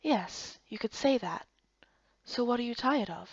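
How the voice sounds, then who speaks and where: Reserved fantasy-game man acted by a woman, hushed, measured delivery, dry and slightly dull sound. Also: fairly high pitch, lively intonation, husky, no room sound, heavily acted. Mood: calm, guarded.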